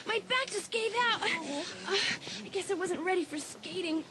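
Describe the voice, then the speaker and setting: A woman playing a lively teenage girl in a dramatised audiobook, with a dramatic, emphatic delivery. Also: very high voice, normal speed. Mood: pained. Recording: loud background ambience and noise.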